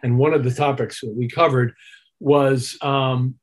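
A man with a husky voice talking thoughtfully and articulately as a musician being interviewed. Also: mid-range voice, steady tone, a little slow. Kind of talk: reflective conversational interview.

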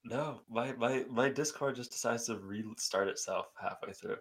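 A man's voice: mid-range voice, moderate pace, husky. A man chatting casually as a podcast co-host. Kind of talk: casual chat.

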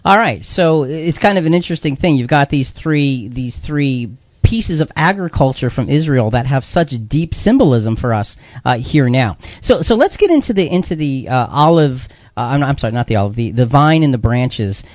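A man's voice: mid-range voice, lively intonation, moderate pace, no room sound. A man hosting a conversational religious discussion show. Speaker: host of a Bible discussion show. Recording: dull, lo-fi audio.

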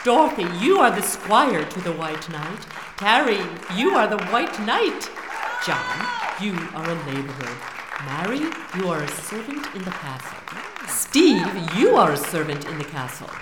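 A woman's voice: on the low side, big pitch swings, unhurried, background noise. A woman playing a theatrical schoolteacher who makes mock-medieval proclamations in a grand, ceremonious way. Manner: ceremonious, declamatory. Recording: echoey hall with long reverb, light crowd.

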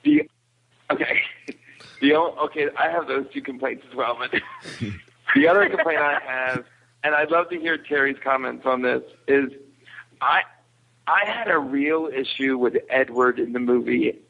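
A man's voice: mid-range voice, animated, normal speed, gravelly, pauses often, narrow, tinny audio. A man chatting casually as a podcast guest, giving his opinions in a review.

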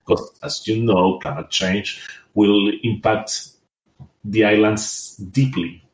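Man from Rapa Nui, lowish voice, halting and thoughtful, roomy sound. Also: steady tone. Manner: hesitant, thoughtful, many fillers and restarts. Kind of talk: conversational interview.

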